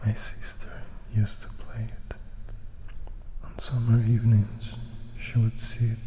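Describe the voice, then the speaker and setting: A man voicing a composed, melancholy young vampire in a hushed, measured storybook whisper. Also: lowish voice, monotone, low-quality recording. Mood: wistful, composed. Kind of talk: whispered ASMR storybook reading.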